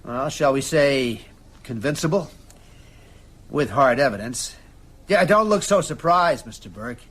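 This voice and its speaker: Husky, wry older priest. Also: male voice, mid-range voice, animated, unhurried, fairly bright tone. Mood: wry, intrigued. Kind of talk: radio drama acting.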